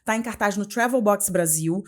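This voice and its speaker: A woman in her forties with a Carioca accent from Rio de Janeiro, talking as an interview guest. She talks in a chatty, expressive way, restarts her phrases often and keeps adding tag questions. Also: medium pitch, normal speed. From Rio de Janeiro, Brazil. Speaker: guest, journalist and communication mentor. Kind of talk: casual interview conversation.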